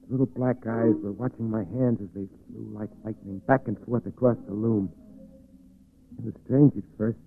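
A man's voice: fairly low pitch, gravelly, slight background noise. An actor playing a lonely, eerie reclusive weaver who confides his brooding story in a 1940s radio drama. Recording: muffled, tinny 1940s radio recording.

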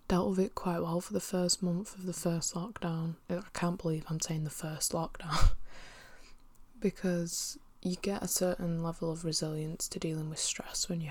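Sniffly woman, low dry voice. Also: no room sound. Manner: candid, rambling, frequent swearing, drifts into soft whispery asides. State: sniffly with a cold. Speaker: vlogger. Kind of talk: casual personal monologue. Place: at home by her desk.

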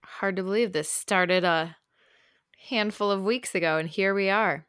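A woman's voice: medium pitch, measured pace, fairly bright tone, smooth, no room sound, studio quality. A woman co-hosting a podcast in upbeat, enthusiastic casual chat, laughing easily. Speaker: podcast co-host.

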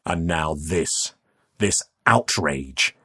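A man voicing a commanding, menacing older aristocrat, crisp and condescending, with a gravelly edge, in an audiobook story. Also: low voice, lively intonation, normal speed. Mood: stern contempt.

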